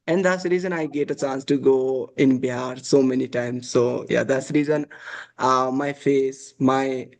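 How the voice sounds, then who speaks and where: A man's voice: mid-range voice, fairly even intonation, moderate pace, a little mellow. A young man from Nepal, chatting on a video call and filling his talk with uh and like. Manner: hesitant, many fillers, uh and like. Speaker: interviewee. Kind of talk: casual video call chat.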